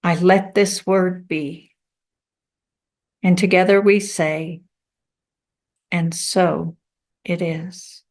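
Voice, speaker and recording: Slow-speaking woman leading a church service. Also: fairly low pitch, steady tone, darkish tone. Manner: measured, deliberate, reverent phrasing. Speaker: service leader at a spiritual center.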